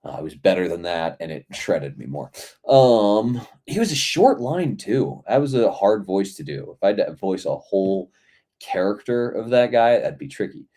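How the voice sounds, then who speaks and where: Hoarse man mumbling through a dev log. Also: on the low side, lively intonation, normal speed, dark tone. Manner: rambling, mutters half to himself. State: hoarse, losing his voice. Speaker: indie game developer. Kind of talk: casual dev log, thinking aloud while working.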